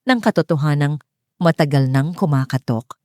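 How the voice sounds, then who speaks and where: A Filipino woman narrates a dramatic story from a script. Her voice sits on the low side and is very mellow and dark in tone. The sound is dry and close, with no room around it. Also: normal speed, no room sound.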